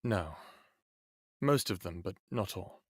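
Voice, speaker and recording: A man's voice: low-pitched, expressive, slow, darkish tone, slightly rough, no echo, clean studio sound, exaggerated voice. A man acting a lovestruck, earnest human in an audio drama, speaking in a hesitant, tender way. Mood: tender, intimate. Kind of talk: first-person audio drama acting and narration.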